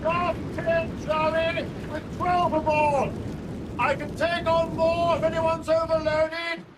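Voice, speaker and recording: Airline captain in crisis: high, urgent pitch, brisk authority, 1970s radio play, heavy rumble behind. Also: male voice, level tone. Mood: firm, urgent. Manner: authoritative, brisk, clipped orders. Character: commanding airline captain in an emergency ditching. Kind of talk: 1970s British radio drama acting. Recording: radio play under loud engine rumble.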